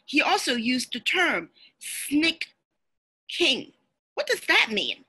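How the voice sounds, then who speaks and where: A woman's voice: high-pitched, slow, poor audio. A woman lawyer whose first language is Cantonese, giving an instructive webinar talk over a video call from a small room. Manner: explanatory, steady, instructive. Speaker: lawyer and presenter. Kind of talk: webinar lecture. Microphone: video call webcam.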